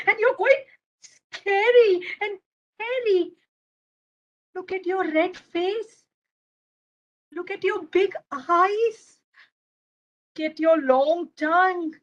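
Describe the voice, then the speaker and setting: A stubborn little storybook boy, played by a woman, with a very high, whiny voice. He exclaims and repeats himself with an excitable temper. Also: dull audio.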